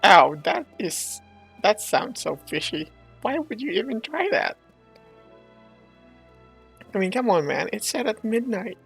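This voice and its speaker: A man's voice: high-pitched, big pitch swings, unhurried, vocal fry, long pauses, a bit bright, breathy edge, soft background music. A man doing casual, incredulous, bantering commentary as a gaming streamer, close on the mic.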